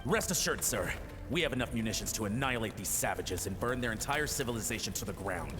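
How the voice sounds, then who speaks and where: A man's voice: lowish voice, lively intonation, fast, natural voice. Man as a ruthless, dutiful soldier, reverberant. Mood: callous, assured. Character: dutiful, ruthless soldier reporting to his commander. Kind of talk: video game voice acting. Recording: long reverb, clear background music.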